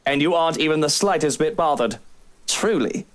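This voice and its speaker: A man's voice: a little high, animated, a little fast, lightly acted. A man playing an irritable, anxious rich young employer in a visual novel, snappy and indignant. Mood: incredulous, worried.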